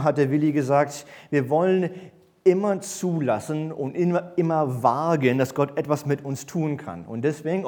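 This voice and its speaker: Mid-thirties male church elder preaching, mid-pitched, halting delivery full of ums. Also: a little mellow. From grew up in East Berlin, born in the USA. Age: 30s. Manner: hesitant, many fillers and self-corrections. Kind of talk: sermon with personal introduction. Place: church service.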